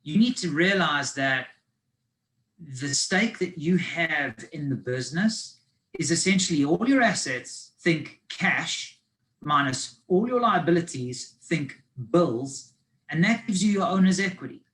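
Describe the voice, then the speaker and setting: A man's voice: a little high, lively intonation, a little slow, a bit bright. South African man presenting on a video call. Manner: conversational and explanatory, with frequent ums and restarts. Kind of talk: live online business finance presentation.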